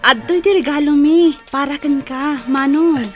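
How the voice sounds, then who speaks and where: A woman with an Ilocano accent playing a cheeky, excitable young schoolgirl in a radio drama. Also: high-pitched, unhurried, faint music behind. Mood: happy.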